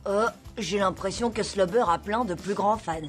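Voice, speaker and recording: A woman's voice: medium pitch, moderate pace, soft background music, natural voice. A woman voicing an eager, scheming cartoon schoolboy who chats expressively and confides in the viewer. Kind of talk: French animated series dub, narrating to viewer.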